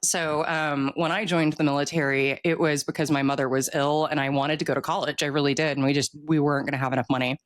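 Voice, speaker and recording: American woman in her 40s, low voice, dry close sound, chatting like an author on a podcast. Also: flat delivery, fast, few pauses, no room sound. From United States. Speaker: author guest, military veteran. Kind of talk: casual interview chat.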